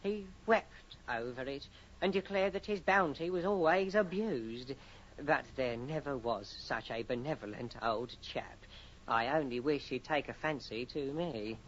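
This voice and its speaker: An actor playing a chatty, officious solicitor's clerk in a radio horror drama, blunt but pitying. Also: male voice, mid-range voice, animated, a little slow, fairly bright tone, slight background noise. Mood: gossipy, pitying. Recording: dull, lo-fi vintage radio recording.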